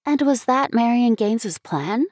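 A woman's voice: a little high, expressive, normal speed, a little mellow, no room sound, pitched above the performer's own voice. An earnest, kind-hearted schoolgirl from a storybook, voiced by a woman, impulsive in the way she speaks. The recording is dry. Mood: gentle, surprised. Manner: earnest, impetuous.

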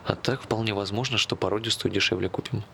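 Low, creaky deadpan man. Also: fast, a little hiss or hum. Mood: evasive, dryly joking. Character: Tolya, evasive, joking working-class man with a dog plan. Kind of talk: naturalistic stage play dialogue.

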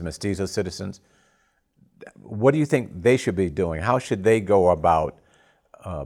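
Deep-voiced man, halting and deliberate. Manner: halting, deliberate phrasing in short fragments. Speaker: interview host. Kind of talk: interview questioning.

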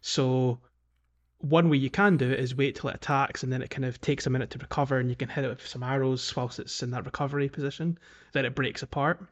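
A man hosting a casual video game discussion, speaking in a hesitant, halting way. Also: medium pitch, fairly even intonation. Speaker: podcast host.

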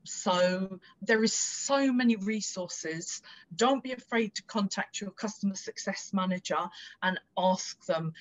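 A woman's voice: lowish voice, dull audio. A woman with an Irish accent, a guest speaking in a casual, encouraging interview chat. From Ireland.

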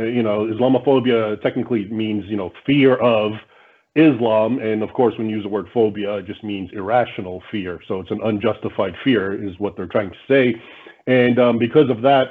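A male guest author answering interview questions in a measured, explanatory way, with frequent fillers over a remote connection. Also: mid-range voice. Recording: tinny phone-like line.

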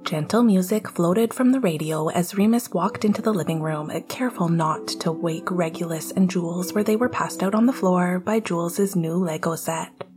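A woman reading fiction aloud as a scripted narration, with a husky, breathy voice and a soft, gentle storytelling manner. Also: fairly low pitch, moderate pace, hardly any pauses, music in the background. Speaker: podfic reader.

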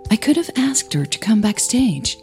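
A woman voicing a nervous, smitten young opera singer in an audio story, speaking softly and a little hesitantly. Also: fairly high pitch, sing-song, moderate pace, faint music behind, voice pushed up. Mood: musing.

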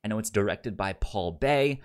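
Low-voiced man with a stutter. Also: expressive, clean tone. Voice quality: stutters, repeating sounds and syllables. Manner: halting, informal, conversational. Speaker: podcast co-host. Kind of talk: casual podcast review chat.

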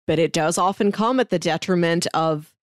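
A woman's voice: fairly low pitch, normal speed, no room sound, studio quality. A woman co-hosting a podcast, chatting casually about a TV series, often agreeing and using "like" as filler. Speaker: podcast co-host. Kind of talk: casual TV recap chat.

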